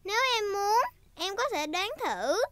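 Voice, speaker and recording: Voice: very high-pitched, very animated, a little slow, sharp tone, a little hiss or hum, heavily acted. A performer voicing a cheerful, bossy little girl piglet, a big sister, in a children's cartoon dub. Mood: bossy, secretive.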